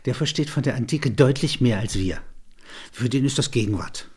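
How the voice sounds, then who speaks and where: A man narrating literary and philosophical reflections in measured, deliberate phrases. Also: mid-range voice, darkish tone, husky.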